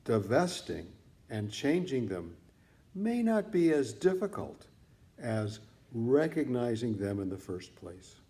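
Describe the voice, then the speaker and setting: A man narrates a mindfulness audiobook at an unhurried pace, with a soft-edged, mellow tone. His pitch moves expressively across deliberate phrases, and he leaves measured pauses between them. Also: very animated. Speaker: author reading own book on mindfulness. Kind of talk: scripted audiobook narration, meditation teaching.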